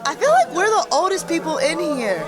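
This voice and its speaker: A woman's voice: extremely high pitch, wildly swooping pitch, fairly quick, crowd noise, full character voice. An actress playing a dry, sarcastic young woman in a podcast sitcom taped before a live audience. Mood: sardonic. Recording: live audience laughter behind. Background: studio audience.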